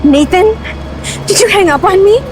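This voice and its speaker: A woman's voice: very high voice, normal speed, over music. A young woman acting a desperate, hurt jilted bride in a scripted drama. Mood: hurt, pleading. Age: young adult.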